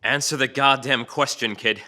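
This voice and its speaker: An abrasive male detective with a short fuse acted by a man, confrontational and profane. The voice is very tense and forceful, medium in pitch, in a crime drama table read. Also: fairly even intonation, moderate pace. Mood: stern. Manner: gruffly confrontational, swearing, taunting. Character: hot-tempered, abrasive male police detective.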